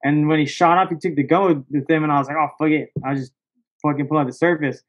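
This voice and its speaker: Male podcast guest, higher-pitched, excitable casual storyteller, slangy and sweary, slightly lo-fi recording. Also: quick pace. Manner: slangy, excitable, profanity-laced, peppered with "bro" and "dog".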